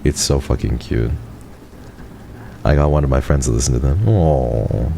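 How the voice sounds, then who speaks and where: A man in his twenties, a streamer rambling affectionately to his viewers in a casual livestream chat, his voice jumping up when he gets excited. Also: extremely low pitch, normal speed, creaky, slight background noise. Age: 20s. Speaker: VTuber streamer.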